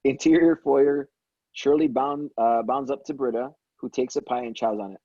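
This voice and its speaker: A man's voice: medium pitch, steady tone, moderate pace, dark tone, husky, dry recording, lo-fi sound. A man reading screenplay action lines as narration at a table read. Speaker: stage-direction reader at a table read.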